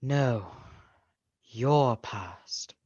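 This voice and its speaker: A woman acting a solemn, ageless, childlike spirit of the past in a radio play, speaking in a measured, stately way. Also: extremely low pitch, mellow, breathy edge, dry recording, heavily acted. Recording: dull lo-fi video call audio.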